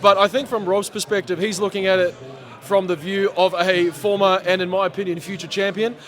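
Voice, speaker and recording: Male MC, high-pitched, hoarse, animated guest in a sports bar chat. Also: fairly quick. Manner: animated, chatty, laughs often. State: losing his voice. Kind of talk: post-fight reaction interview.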